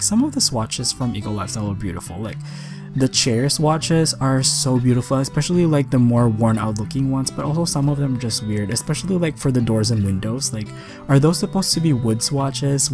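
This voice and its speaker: Casting: young male gaming creator, slight rasp, chatty and upbeat casual voice-over, clear guitar music bed underneath. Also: medium pitch. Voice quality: slightly raspy. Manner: chatty, enthusiastic. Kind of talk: casual voice-over commentary on a game speed build.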